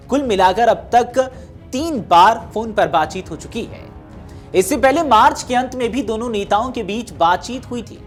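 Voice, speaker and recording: A woman reading scripted news narration in a formal, measured newsreader delivery. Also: medium pitch. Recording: music behind the voice. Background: background music.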